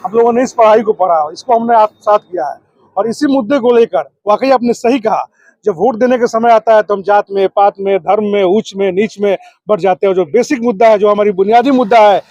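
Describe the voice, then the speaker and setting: A man's voice: high-pitched, brisk, a little mellow, no room sound, highs cut off. A man answering as a political activist, oratorical, building his points in repeated phrases and lists. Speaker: political activist, district committee member.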